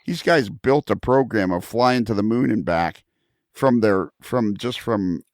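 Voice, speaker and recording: A man's voice: fairly low pitch, expressive, unhurried, a little mellow, no room sound, studio quality. A man hosting a casual podcast chat, who asks his guests questions and laughs often. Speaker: podcast host.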